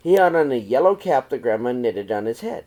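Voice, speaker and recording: A man narrates a children's storybook aloud in a medium-pitched voice. His intonation rises and falls widely, giving the read-aloud an expressive, dramatized feel suited to young listeners. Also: a little fast, low-quality recording.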